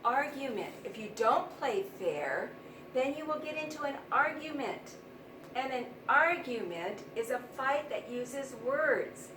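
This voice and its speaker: A woman teaching young children, explaining things simply and deliberately with plenty of repetition. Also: high-pitched. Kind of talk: read-aloud vocabulary lesson for young children. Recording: roomy, distant mic, noisy background.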